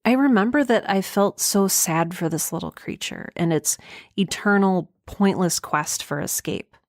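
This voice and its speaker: A woman's voice: mid-range voice, unhurried, no echo, clean studio sound, natural voice. A woman playing an anxious, wry young space castaway recording an audio drama log, confiding and hesitant as she corrects herself. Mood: wistful. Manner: hesitant, self-correcting, rambling, dryly wry. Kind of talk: audio drama monologue, confiding diary narration.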